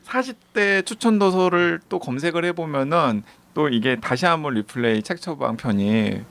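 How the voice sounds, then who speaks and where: A man's voice: on the high side, animated, moderate pace, gravelly, a bit bright. A man in his forties chatting on a book podcast, his voice rising and falling a lot. He laughs easily and explains things in a friendly, talkative way. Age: 40s. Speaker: podcast co-host. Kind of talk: casual chat.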